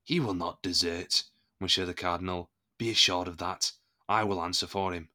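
A proud, defiant captain of musketeers with a lowish, hard-edged voice. He speaks in formal, courtly sentences with indignant weight, loyal to his men, in a period audio drama. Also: fairly even intonation, normal speed, bright, forceful tone. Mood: solemn, firm.

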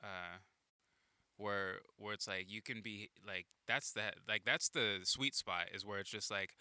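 A man hosting a casual, talkative conversation outdoors in a backyard, speaking in an animated way with many fillers. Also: fairly low pitch, measured pace, clean tone, no room sound.

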